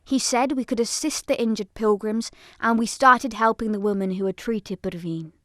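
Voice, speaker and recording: A woman narrator giving a scripted first-person dramatic account in a solemn, measured storytelling manner. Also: on the high side, fairly even intonation, fairly bright tone, no room sound, studio quality.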